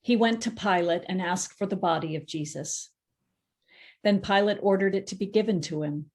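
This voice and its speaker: A woman reading scripture aloud as narrator in a church dramatic reading, in a steady, measured way with the odd self-correction. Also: medium pitch. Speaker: scripture reader.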